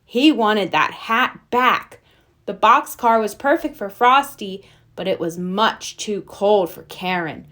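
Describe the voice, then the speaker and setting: A woman reading a children's storybook aloud as narrator, with expressive storytelling. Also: medium pitch, slow. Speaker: storybook reader.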